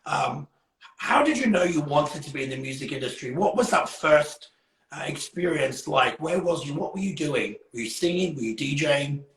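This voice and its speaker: A male interview host speaks in a gravelly voice pitched a little high. He is effusive and casual as he runs a live online show, and the room around him rings with clear echo. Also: lively intonation, moderate pace, fairly bright tone. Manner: effusive, exclaiming. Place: indoors, live social media stream.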